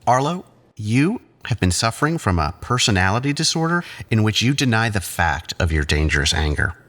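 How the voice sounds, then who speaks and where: A male actor playing a condescending, controlling psychiatrist who talks in patronizing, measured therapy-speak in an audio drama. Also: lowish voice, big pitch swings, a bit bright. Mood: falsely soothing.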